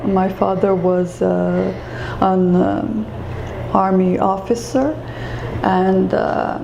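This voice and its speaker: Slow, gravelly woman in an echoey room. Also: mid-range voice. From Croatia. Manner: halting and reflective, many fillers and restarts. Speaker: interview guest. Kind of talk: personal story in an interview. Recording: echoey room with faint ambient noise.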